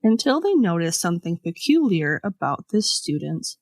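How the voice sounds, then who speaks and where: A woman podcast host telling a scripted story in a conversational way, with pauses for dramatic effect. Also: lowish voice, big pitch swings, a little mellow, no echo. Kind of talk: scripted storytelling with casual asides.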